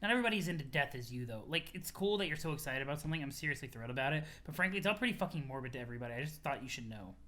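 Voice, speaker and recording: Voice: medium pitch, expressive, very fast, hardly any pauses, bright, forceful tone, voice pushed down. A comic reader voicing a blunt but tactful young troll, weary and hesitant, swearing casually. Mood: weary, reproachful.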